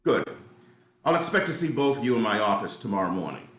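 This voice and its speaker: A man plays a controlling fifty-something crime boss and father in a screenplay reading. His deliberate, insinuating delivery rises and falls dramatically. The room is noticeably echoey. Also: on the low side, very animated, a bit bright. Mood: cool, menacing. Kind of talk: script table read.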